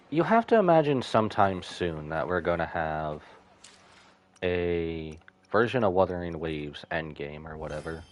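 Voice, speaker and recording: Deep-voiced, animated man streaming a game. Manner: sentimental, reacting aloud and musing to himself. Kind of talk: casual live game commentary.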